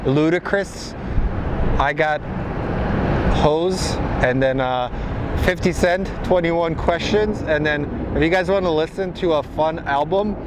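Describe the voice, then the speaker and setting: Male livestream host, American accent, Serbian native, lightly higher register, chatty and slangy, recorded outside amid very loud ambient noise. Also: slow. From United States. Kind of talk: casual live stream chat. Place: outdoors near an airport. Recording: loud outdoor airport and traffic noise.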